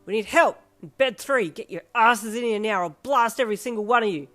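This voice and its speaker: Woman doing a brash shouting young man. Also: on the high side, fairly quick, soft background music, pitched above the performer's own voice, natural voice. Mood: yelling furious. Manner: shouting, gruff, swearing. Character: hot-tempered young man, brash hospital patient.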